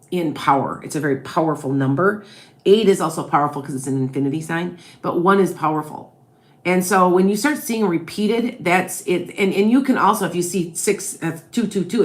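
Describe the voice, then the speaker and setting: A woman with a Wisconsin-Chicago blended accent, a psychic tarot reader chatting through viewers' questions on a live stream and tagging her points with "okay? all right?". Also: on the low side. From American Midwest.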